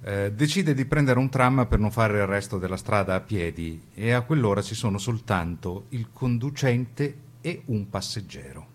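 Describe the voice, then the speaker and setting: Lower-voiced male radio narrator. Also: animated, moderate pace, few pauses. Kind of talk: dramatized documentary narration for radio.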